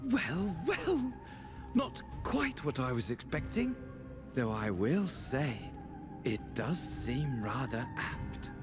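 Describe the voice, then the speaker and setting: A man playing a courteous game character with a formal, measured way of speaking. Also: medium pitch, sing-song, music in the background. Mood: dryly appraising. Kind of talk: video game cutscene acting.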